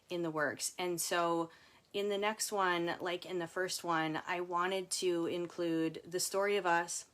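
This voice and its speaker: A woman's voice: on the low side, fairly bright tone. A woman author reading and chatting casually to viewers, with informal, chatty asides. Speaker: author reading from her book. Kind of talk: casual livestream book reading and chat.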